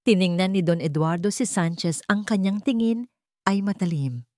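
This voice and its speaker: A woman's voice: mid-range voice, normal speed, warm tone, no echo, clean studio sound. A woman with a Filipino accent reading a scripted dramatic audio story as its storyteller.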